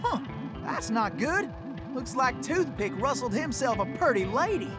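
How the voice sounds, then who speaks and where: A man voicing a chivalrous, cheerful cowboy hero in an animated game, with a folksy American Western drawl. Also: very high-pitched, a little slow, loud music behind the voice, exaggerated voice. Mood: wry. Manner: folksy, courteous. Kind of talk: animated video game acting.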